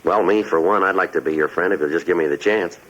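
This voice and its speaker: Radio cop, very low voice, rapid clipped delivery, dogged, level-headed, muffled old recording. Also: male voice, a bit bright. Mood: persuasive, calm. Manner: clipped, matter-of-fact, persistent questioning. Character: dogged, level-headed plainclothes police detective. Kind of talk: 1950s radio police drama, dialogue and narration.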